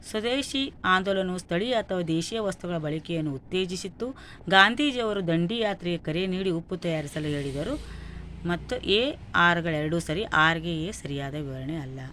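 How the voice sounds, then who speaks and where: A woman's voice: lowish voice, lively intonation, normal speed, fairly bright tone. North Karnataka woman teaching, noisy recording. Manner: instructional, listing answers item by item. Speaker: exam-prep teacher. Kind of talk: reading out quiz questions and answers.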